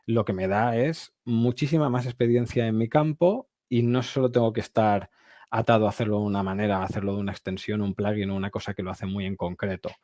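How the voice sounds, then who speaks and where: Guy from barcelona chatting on a video call, lowish voice, dry close sound. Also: steady tone, a little fast, a little mellow, no room sound. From Barcelona, Spain. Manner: chatty, explanatory, laughs easily. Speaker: webinar guest speaker. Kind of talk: casual presentation and chat. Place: home office, indoors. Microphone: video call with earbud headset.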